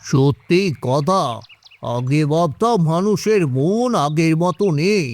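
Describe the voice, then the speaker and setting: A man playing a kindly, reflective old village man in an animated moral story. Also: fairly high pitch, takes it slowly, dry recording. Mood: reflective, approving.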